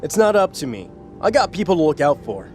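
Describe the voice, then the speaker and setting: Woman playing a cocky, animated young king. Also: deep voice, fairly quick, faint music behind. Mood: cocky, defiant. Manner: cocky, gruff, grumbling. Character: cocky, battered young king, brash but honorable. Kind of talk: audio drama character acting.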